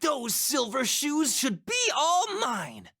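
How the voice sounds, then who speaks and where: A narrator giving scripted rhyming storybook narration in a slow, even reading. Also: high voice, no echo, clean studio sound.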